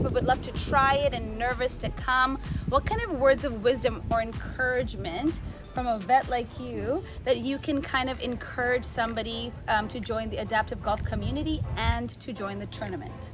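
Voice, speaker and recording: Lady interviewer, kinda high voice, music playing under her. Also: moderate pace. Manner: encouraging, affirming. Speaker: interviewer, board member. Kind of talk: event interview.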